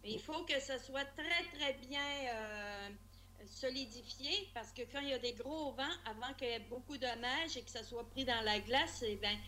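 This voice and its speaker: A woman from Quebec with a Québécois accent, a radio guest explaining things in a casual, halting way. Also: on the high side, a bit bright, slight background noise. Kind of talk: casual phone-in chat.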